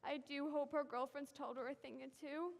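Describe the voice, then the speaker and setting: A woman's voice: high voice, fairly even intonation, normal speed, creaky. An actress playing a middle-aged mother of the bride who rambles fretfully and is close to tears. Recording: reverberant old film soundtrack.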